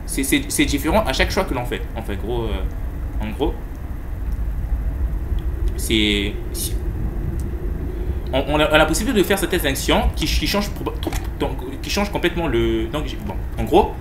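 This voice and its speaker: A young man giving casual, excitable reaction commentary on a horror game, halting and often repeating his words. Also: medium pitch, noisy background. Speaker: gaming video host.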